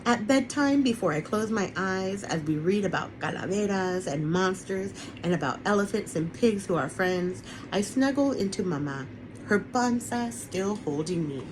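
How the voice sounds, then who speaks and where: A woman's voice: mid-range voice, animated, moderate pace, noisy background. A woman who is an author, reading her children's picture book aloud on an online webinar recording. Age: adult, parent of a young son.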